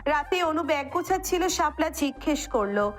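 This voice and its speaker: Very high-voiced woman narrating a story. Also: expressive, normal speed. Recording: music bed under the voice.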